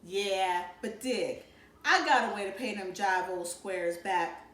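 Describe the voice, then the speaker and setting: A woman's voice: medium pitch, slow pace, a bit bright, echoey room. A woman voicing a defiant, scheming teenage boy with swaggering street slang, a bullying big brother in a storybook. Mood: boastful, scheming.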